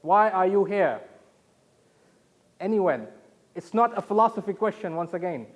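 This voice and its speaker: A man with a fairly high voice speaks as a conference presenter from Saudi Arabia. He explains things haltingly, often stopping to restart, and poses questions to his listeners. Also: expressive.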